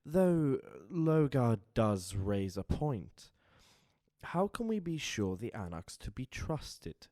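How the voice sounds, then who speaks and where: A man playing a shrewd, wary vampire spymaster in an audio drama, speaking formally and deliberately. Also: mid-range voice, very animated, dark tone, dry recording, studio quality. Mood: calculating.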